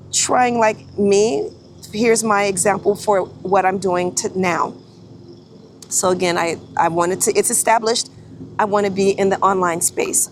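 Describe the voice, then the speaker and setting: A woman's voice: medium pitch, a little hiss or hum. Chatty woman in her forties. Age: 40s. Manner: chatty, self-correcting, frequent restarts. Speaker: photographer and vlogger. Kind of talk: casual talking-head vlog.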